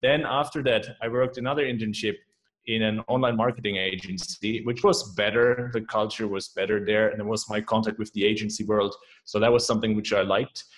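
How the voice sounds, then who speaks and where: Upbeat German-accented man, lowish voice. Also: steady tone, a little fast, poor audio. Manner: upbeat, enthusiastic, repeats "man" and "right?". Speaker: podcast guest. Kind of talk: casual interview chat.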